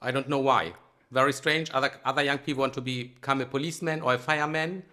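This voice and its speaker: Middle-aged man with a German accent, crisp bright tone, hesitant guest who pauses and fills often. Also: medium pitch, steady tone. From Galicia, Spain, raised in Germany. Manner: hesitant, many ums and uhs. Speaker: guest lawyer. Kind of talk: casual interview talk.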